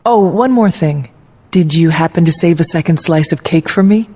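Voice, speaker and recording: An actress playing a reserved, earnest heroine in a video game, speaking in a measured, formal way with dry humour. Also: female voice, fairly low pitch, warm tone, breathy edge. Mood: fond, curious. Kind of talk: video game cutscene acting.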